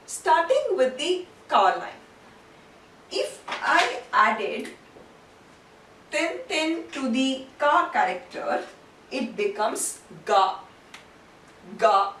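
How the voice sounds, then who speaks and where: Female language teacher, high-pitched and unhurried, deliberate step-by-step delivery, slightly distant mic. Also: a bit bright. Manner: deliberate, repeats syllables and terms for learners. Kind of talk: instructional lesson, step-by-step explanation. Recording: roomy, distant mic, faint background noise.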